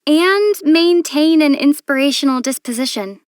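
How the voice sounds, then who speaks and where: Game heroine: young traveler, high-pitched, measured and patient with a gently dry wit. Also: female voice, animated, noisy background. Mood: instructive. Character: young female adventurer, patient, thoughtful, dryly amused. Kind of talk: video game character voice acting.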